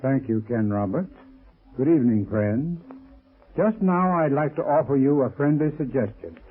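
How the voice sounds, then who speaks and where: A man giving a formal, deliberate 1930s radio advertising talk as a sponsor's heating expert. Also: medium pitch, expressive. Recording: very muffled, rough old radio recording.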